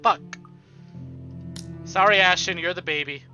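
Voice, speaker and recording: A man with a slightly high voice streams a story game, switching between narration and animated, sweary commentary. The audio is a bit dull, with music clearly playing behind him. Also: measured pace. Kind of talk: live playthrough commentary, reading visual novel text aloud.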